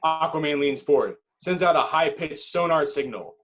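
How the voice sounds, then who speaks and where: Higher-voiced man narrating a screenplay. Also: moderate pace, a bit bright. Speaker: narrator reading stage directions.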